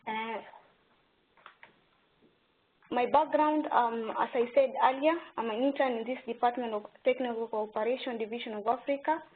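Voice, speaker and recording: Tinny, higher-voiced young woman from Kenya. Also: fairly even intonation, brisk. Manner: methodical, list-like delivery. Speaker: intern. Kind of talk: explaining her department. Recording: tinny phone-like sound with faint hiss.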